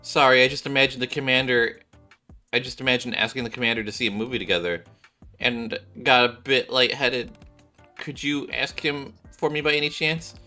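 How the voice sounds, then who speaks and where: A man's voice: medium pitch, normal speed, pushed and bright, voice pushed up. Man voicing a meek, tired young woman researcher. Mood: flustered. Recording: background music behind the voice.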